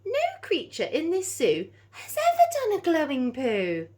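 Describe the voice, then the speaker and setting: A wondering zookeeper man from a children's storybook, acted out by a woman. He speaks in hushed amazement, wide-eyed, with pitch that rises and falls dramatically. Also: huge pitch swings, unhurried, full character voice. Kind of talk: children's storybook read-aloud.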